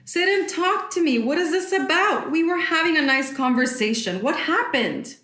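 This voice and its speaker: A woman's voice: sits high, animated, moderate pace, a bit bright. A woman playing a protective, worried middle-aged mother of a grown daughter in a naturalistic audio drama, with a concerned manner. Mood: worried, urging. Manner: concerned, slips into Spanish endearments and phrases. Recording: roomy indoor echo.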